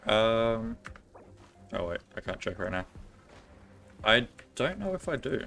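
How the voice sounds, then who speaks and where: A man's voice: mid-range voice, sing-song, slow, creaky, pushed and bright, faint music behind. A man giving casual gameplay commentary as a streamer, musing aloud to himself. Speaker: game streamer.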